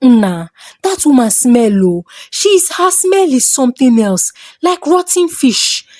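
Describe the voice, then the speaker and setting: A woman's voice: sits high, sing-song, slow, darkish tone, no echo, clean studio sound, pitched above the performer's own voice, full character voice. A woman with a Nigerian accent voicing a cold, complaining young husband in a folktale. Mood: mocking. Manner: dismissive, complaining.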